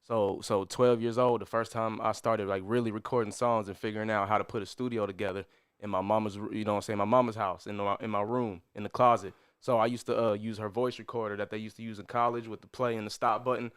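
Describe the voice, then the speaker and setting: Fast-talking boastful man in his thirties. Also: lowish voice, flat delivery, clear, resonant tone. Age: 30s. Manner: boastful, profane, hype storytelling. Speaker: podcast guest. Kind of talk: casual interview chat.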